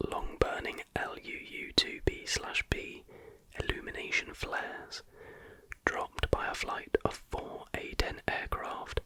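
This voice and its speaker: An ASMR host whispers a scripted narration from start to finish. The whisper is bright and crisp-edged, with no full voice in it. The phrasing is unhurried and deliberate. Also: low-quality recording.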